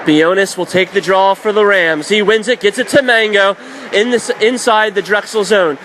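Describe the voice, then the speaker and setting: High-pitched man calling hockey over loud noise. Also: normal speed. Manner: excitable on shots, clipped present-tense calls. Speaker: play-by-play hockey announcer. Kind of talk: live radio sports play-by-play commentary. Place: indoor ice hockey arena. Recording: loud rink noise behind the voice.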